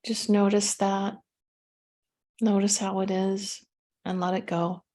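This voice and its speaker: Mellow-voiced woman guiding a meditation. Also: mid-range voice, little pitch movement. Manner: gentle, unhurried instruction with pauses. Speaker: meditation guide. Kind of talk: guided meditation.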